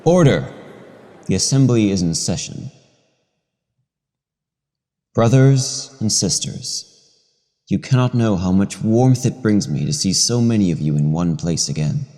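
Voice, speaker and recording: A man's voice: lowish voice, expressive, a little slow, pauses often, mellow. Grand, solemn superhero elder played by a man, reverberant. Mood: solemn, ceremonial. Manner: stately, formal, oratorical. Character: grand, solemn veteran telepathic superhero leader. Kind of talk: radio play acting. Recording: long hall reverb.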